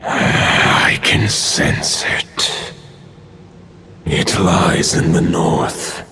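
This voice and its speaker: A man's voice: flat delivery, noisy background, heavily acted. A man voicing an ancient wolf god who speaks in a grave, protective, commanding way. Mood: solemn. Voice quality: deep gravelly growl. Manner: slow, solemn, commanding. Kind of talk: video game voice acting. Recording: game audio over loud music.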